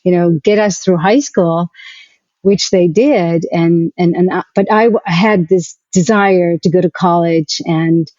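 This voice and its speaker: A woman with a South African accent speaking conversationally as an interview guest, haltingly and reflectively repeating words. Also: fairly low pitch, fairly even intonation, darkish tone, dry recording, lo-fi sound. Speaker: interview guest, arts nonprofit executive. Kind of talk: conversational personal account.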